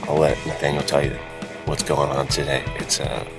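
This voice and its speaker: A man with an extremely low voice full of gravel and fry. He talks casually as a podcast co-host. Music plays behind him. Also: little pitch movement, moderate pace. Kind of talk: casual chat. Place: outdoors while on vacation. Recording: music behind, rough sound quality.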